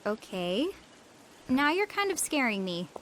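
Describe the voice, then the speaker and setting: High-voiced, bossy young American sister. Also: female voice, very animated, measured pace, fairly bright tone, slight background noise, poor audio. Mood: suspicious, demanding. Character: young twin sister, sensible, bossy, quick to alarm. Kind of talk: animated game cutscene acting.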